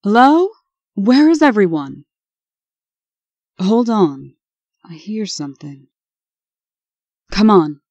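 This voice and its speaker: A woman's voice: medium pitch, big pitch swings, darkish tone, no echo, highs cut off, heavily acted. A woman voicing a stern, authoritative administrator in a comic dub. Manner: brisk, authoritative.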